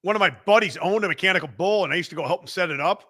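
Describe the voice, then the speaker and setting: A man with a Chicago accent hosting a live show, loud and profane, bantering and reading out the chat. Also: high voice, racing. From Midwestern United States. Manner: loud, rowdy and profane, with bursts of laughter.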